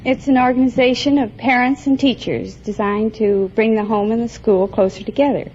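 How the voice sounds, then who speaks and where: A woman's voice: on the high side, steady tone, moderate pace, a little mellow. A woman giving brief, matter-of-fact, formal answers as a radio quiz contestant. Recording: muffled, faintly noisy 1950s radio recording.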